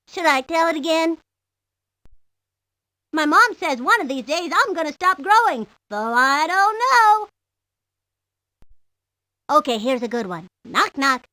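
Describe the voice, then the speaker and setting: A woman voicing a big, goofy, excitable young boy who clowns around in a video game. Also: very high voice, no room sound, full character voice. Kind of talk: scripted video game character lines.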